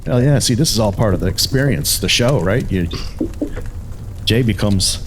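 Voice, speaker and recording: A man with a fairly low voice who hosts a podcast and keeps up teasing, joking banter with his guest. There is faint noise in the background. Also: lively intonation, normal speed. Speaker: podcast host. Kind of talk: casual podcast chat.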